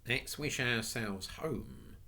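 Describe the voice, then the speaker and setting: Guy doing a calm cheerful boy, bit higher. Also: takes it slowly, creaky, exaggerated voice.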